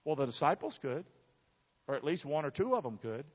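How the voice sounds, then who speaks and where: A man's voice: on the high side, sing-song, a little fast, breathy edge. Southern guy preaching, folksy and emphatic, voice swings all over, big echoey hall, kinda muffled sound. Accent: Southern American. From southern United States. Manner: emphatic, folksy, asks the audience questions. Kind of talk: sermon to a congregation. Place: large church room. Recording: dull, reverberant large hall sound.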